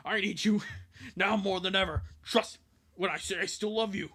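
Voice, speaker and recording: A man voicing a pleading, career-driven rabbit cop heroine in a melodramatic comic fan dub, with a raspy, strained voice. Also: high voice, normal speed, pushed and bright, voice pushed up. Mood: desperate pleading.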